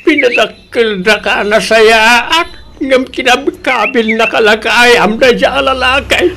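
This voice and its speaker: A man's voice: extremely high pitch, takes it slowly, vocal fry, background noise, heavily acted. A man playing an older, protective village father in a comedic radio drama, exclamatory, with an Ilocano accent. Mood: shocked, upset.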